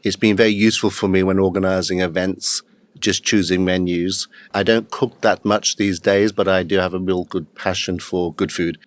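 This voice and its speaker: Low-voiced Englishman in his fifties. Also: moderate pace, no echo. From England. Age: 50s. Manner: steady, matter-of-fact storytelling with occasional uhs. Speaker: guest, executive coach. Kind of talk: podcast interview.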